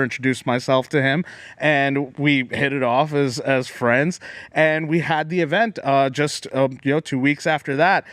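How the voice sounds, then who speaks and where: A man's voice: on the high side, a bit bright, dry recording, clean studio sound. A man who organizes a political club, speaking casually on a talk show and restarting often mid-sentence. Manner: halting, many restarts.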